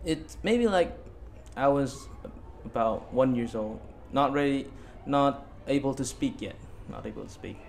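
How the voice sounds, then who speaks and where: A man's voice: mid-range voice, lively intonation, unhurried, gravelly, few pauses. A young man in his late teens from Bali giving casual interview answers as a student guest, hesitant and repeating himself. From Bali, Indonesia.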